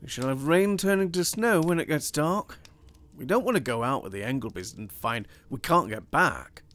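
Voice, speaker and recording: A man's voice: fairly high pitch, lively intonation, normal speed, fairly bright tone, pitched above the performer's own voice. Man doing a dry, wry husband. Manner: dry, sardonic.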